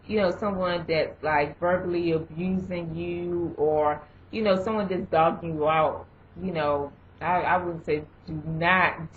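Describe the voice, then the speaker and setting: Brief: young adult woman, lowish voice, rambling advice vlog, muffled lo-fi recording. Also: a little hiss or hum. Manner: rambling, leans on "you know" and "um". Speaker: vlogger. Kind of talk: casual advice chat. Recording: dull lo-fi recording.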